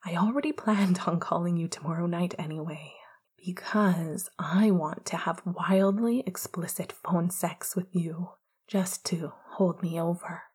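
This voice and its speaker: A woman giving a whisper to an eager young man, hushed and breathy, teasing and playful in tone, with the same airy texture throughout. The lines are read aloud from a storybook-style romance. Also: on the low side, steady tone, unhurried.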